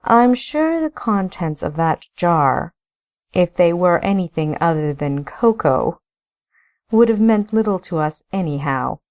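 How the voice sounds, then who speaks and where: A woman's voice: on the low side, big pitch swings, measured pace, a little mellow. A woman voicing an easygoing younger brother with a breezy, dismissive manner.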